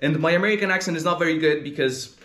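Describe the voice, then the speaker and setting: Teen boy, Greek accent, higher-pitched voice, earnest and halting, recorded in a roomy space with echo. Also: a bit bright. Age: teens, about 15. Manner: earnest, searching for words, frequent "like" and "uh". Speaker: interviewee, gamer. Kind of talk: casual interview answers.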